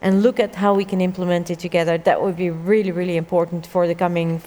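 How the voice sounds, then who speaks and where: A woman's voice: fairly low pitch, level tone, fast talker, dark tone. A woman from the Netherlands, a government minister, talking in a panel discussion in a conversational way, with many fillers. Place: conference hall stage. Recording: reverberant conference hall.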